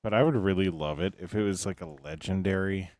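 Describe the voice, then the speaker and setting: A man with a deep, low voice chatting casually as a game streamer, musing and repeating himself as he thinks aloud. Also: a little fast, a little mellow. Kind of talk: casual chat while playing.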